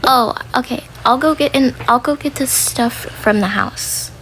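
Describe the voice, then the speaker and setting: A woman playing a patient, gently scolding mother in a family role play. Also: high voice, measured pace, a little hiss or hum, rough recording. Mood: warm, practical. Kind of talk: toy role play acting.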